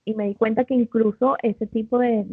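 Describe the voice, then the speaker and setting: A woman's voice: a little high, fairly even intonation, normal speed, slightly rough, no echo. A woman co-hosting who tells a personal anecdote in a chatty, conversational way. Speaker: co-host. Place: living in Peru. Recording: tinny phone-like call line.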